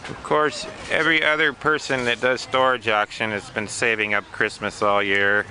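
A middle-aged man talks through his own handheld video outdoors, unhurried and matter-of-fact with a dry, wry streak. His voice has a bright, forward tone. A faint hum of outdoor noise sits behind him on the camera mic. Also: fairly low pitch, poor audio. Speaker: storage auction vlogger. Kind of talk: casual handheld vlog narration. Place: outdoors at a swap meet and a storage unit.

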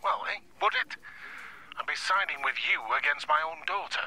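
Sharp, stern father-in-law on telephone. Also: on the high side, moderate pace. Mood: stern, reproachful. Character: stern, moralising older father-in-law on the phone. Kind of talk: radio drama acting. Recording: phone call, faint noise.

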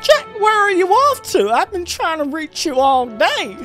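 A man voicing a worried, caring young woman in a video game, in a loud, high falsetto. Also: huge pitch swings, measured pace, faint music behind, heavily acted. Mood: worried, pleading.

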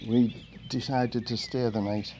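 An older man with an English accent chatting casually while washing his car, in a monotone voice and a rambling storytelling manner. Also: mid-range voice, measured pace, slight background noise. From England. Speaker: vlogger. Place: outdoor carport of a house. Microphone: camera mic from a few meters away. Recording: outdoor camera audio with birdsong. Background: birds and rural outdoor ambience.

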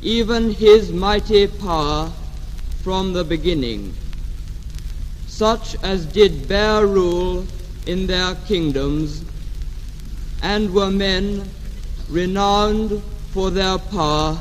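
A man's voice: high-pitched, slow pace, long pauses. A young man with a British received pronunciation accent reads scripture formally, solemn and declamatory, in a large abbey. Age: young adult. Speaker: head boy reading a lesson. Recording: echoing abbey, noisy 1950 LP recording.

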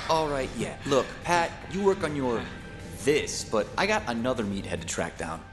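An actor playing a flippant, streetwise survivor in a video game, talking in slangy, joking style. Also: male voice, medium pitch, big pitch swings, normal speed, music in the background, natural voice. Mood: wry. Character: wisecracking streetwise survivor, adult man, cocky and flippant. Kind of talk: video game cutscene acting.

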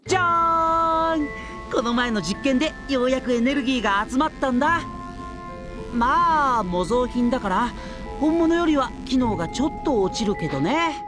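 アニメゲームで、遊び好きで得意げな若い男性の科学者の策士を演じる女性。からかうように、もったいぶって話す。. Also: female voice, high-pitched, animated, moderate pace, music in the background. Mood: playful, boastful. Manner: teasing, sing-song showing off. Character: playful, smug young male mad-scientist schemer. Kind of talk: anime game voice acting.

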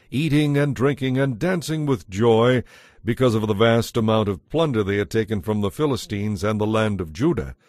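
Low-pitched, solemn male Bible narrator. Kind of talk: scripted dramatized Bible narration.

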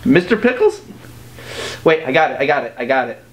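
A man's voice: medium pitch, sing-song, fairly quick, noisy background, lightly acted. A man in his thirties acting a sarcastic, exasperated, slangy guy in an amateur short film. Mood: guessing.

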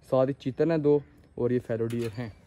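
A young adult man with a Pakistani accent speaks in a mid-range voice. He explains things to viewers in a casual vlog style, often pausing to rephrase. He is recorded outdoors on a handheld phone. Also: medium pitch, fairly even intonation, mellow. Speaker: farm owner and vlogger. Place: outdoors on an open farm. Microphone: handheld phone at arm's length.

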